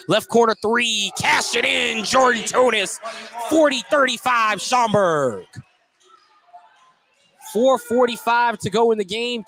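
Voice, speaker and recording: A man's voice: high-pitched, fairly bright tone. A man doing live play-by-play basketball commentary on the radio in a jokey manner. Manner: jokey, often chuckling. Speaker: play-by-play announcer.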